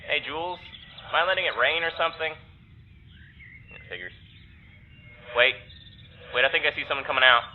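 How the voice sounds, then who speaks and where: A thin, phone-like signal carries a male actor as an uneasy young man. He is sardonic and halting, talking his way through a strange place in an audio drama, with faint noise behind him. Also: on the high side, natural voice. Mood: wary, exasperated. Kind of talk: naturalistic audio drama acting. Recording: tinny phone-like filter with background noise.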